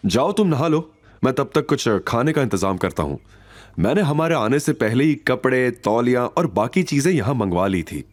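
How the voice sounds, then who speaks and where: A man's voice: mid-range voice, very animated, moderate pace. A man playing a caring, protective young man, earnest and coaxing, in an audio drama. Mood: easygoing, caring.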